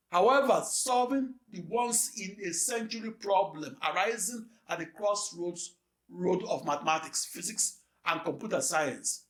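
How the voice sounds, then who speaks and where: A man gives a scripted lecture with a measured, emphatic delivery. His voice sits fairly high and moves slowly from phrase to phrase. The recording has a roomy echo around it.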